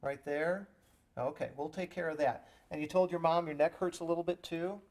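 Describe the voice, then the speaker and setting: A man's voice: fairly high pitch, steady tone, normal speed, darkish tone. A man working as an EMT, talking a child patient through each step simply and reassuringly. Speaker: EMT with a rescue squad.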